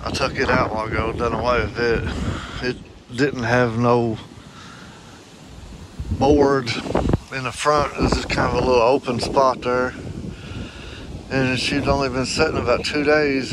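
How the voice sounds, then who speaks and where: A man giving a rambling, folksy walkaround of his farm, recorded outdoors on a handheld phone. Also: medium pitch. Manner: rambling, folksy, thinking aloud with many fillers. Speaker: farm owner vlogger. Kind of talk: casual walkaround vlog. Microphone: phone held while walking. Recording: wind noise on phone mic outdoors. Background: wind and outdoor ambience.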